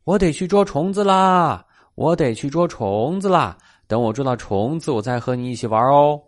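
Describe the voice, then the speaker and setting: Man voicing a busy, high-pitched little dragonfly. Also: animated, normal speed, lightly acted. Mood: busy, polite. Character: small busy dragonfly, politely putting off a playmate. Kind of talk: children's storybook character voice.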